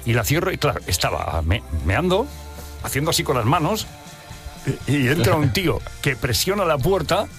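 A middle-aged man hosting a radio show, telling crude anecdotes in a lively, joking way. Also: fairly high pitch, moderate pace, faint music behind. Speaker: radio host. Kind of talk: casual radio chat.

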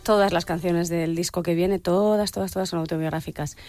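A woman's voice: fairly low pitch, fairly even intonation, darkish tone, soft background music. Candid woman singer on radio. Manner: candid, reflective, quick to laugh. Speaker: singer guest. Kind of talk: radio interview chat. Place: radio studio.

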